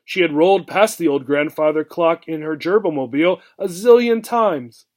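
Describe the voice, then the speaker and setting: Higher-pitched man reading a children's book. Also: moderate pace, darkish tone. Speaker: reader, teacher. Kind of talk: expressive children's book read-aloud narration.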